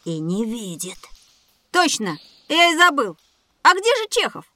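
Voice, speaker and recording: A woman voicing an inquisitive, excitable young boy in a children's audio drama. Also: on the high side, measured pace, exaggerated voice. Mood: curious.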